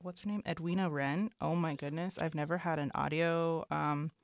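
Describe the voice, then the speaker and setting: A co-host of a casual book podcast, gushing and quick to poke fun at themself. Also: medium pitch, steady tone, no room sound. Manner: gushing, self-deprecating.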